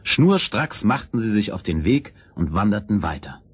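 A man narrating a scripted children's fairy tale as storyteller, in a measured, expressive way. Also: fairly low pitch, very noisy.

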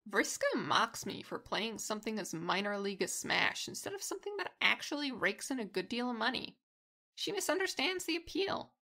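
Woman doing a cocky teasing gamer girl, theatrical, bright voice, swoops around a lot, podcast drama. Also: a little high, big pitch swings, moderate pace, exaggerated voice. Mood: smug. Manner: theatrical, relishing her words. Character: sly, cocky, teasing female competitive gamer. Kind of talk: fan fiction podcast acting.

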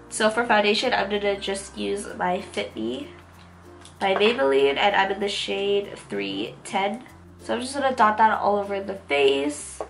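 A woman's voice: mid-range voice, vocal fry. A woman in her twenties with a nasal, congested voice from a cold, giving an enthusiastic, casual makeup review as a beauty vlogger. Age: 20s. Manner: enthusiastic, gushing. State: getting over a cold. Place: small room at home, indoors. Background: background music.

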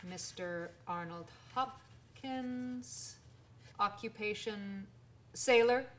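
A woman's voice: medium pitch, very slow, fairly bright tone, a little hiss or hum. A woman in a radio drama playing a dutiful police inspector, asking questions in a clipped, formal manner. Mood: dry suspicion.

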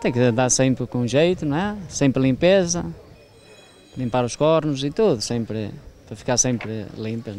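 A man's voice: mid-range voice, measured pace, a little mellow. A middle-aged man with a rural northern Portuguese accent giving short casual answers outdoors. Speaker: cattle breeder. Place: outdoors at a cattle fair.

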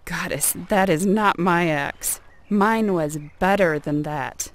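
A woman voicing a greedy, scheming male villager with drawn-out, exaggerated pleading in a storybook tale. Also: on the low side, a little hiss or hum. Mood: sly. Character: greedy scheming male neighbor feigning misery.

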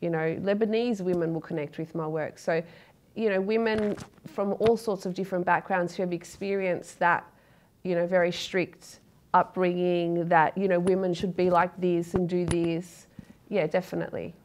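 A woman's voice: on the low side, steady tone, moderate pace, darkish tone. Earnest Australian woman poet in studio. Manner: earnest, open, with many fillers and restarts. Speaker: poet, interview guest. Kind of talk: studio interview. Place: dark TV studio, seated in a chair.

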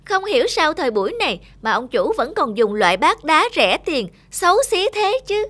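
A woman's voice: high-pitched, very animated, moderate pace, a bit bright. A woman voicing a haughty, vain young female porcelain bowl in a cartoon, snooty and dramatic. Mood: scornful. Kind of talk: animated cartoon character acting. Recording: music behind the voice.

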